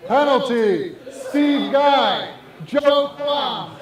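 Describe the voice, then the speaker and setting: A man's voice: very high-pitched, extremely slow, distant mic, heavily acted. A man playing an officious football referee barking out absurd penalty calls in a talk-show comedy sketch. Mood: officious, scolding. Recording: echoey, noisy studio audience recording, off mic.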